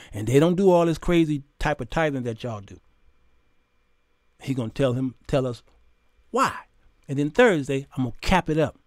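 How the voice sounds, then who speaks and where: High-pitched, emphatic American man hosting. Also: no room sound, studio quality. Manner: animated, preacherly, emphatic, with rhetorical questions and acted-out dialogue. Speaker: talk show host. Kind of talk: informal opinionated monologue to camera. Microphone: close condenser microphone on boom arm.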